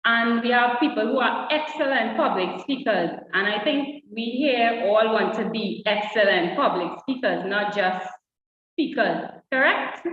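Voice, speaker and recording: A woman's voice: fairly high pitch, unhurried. A woman co-presenting a public speaking workshop, speaking to the audience and asking them direct questions. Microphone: online session audio. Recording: dull distant echoey hall sound.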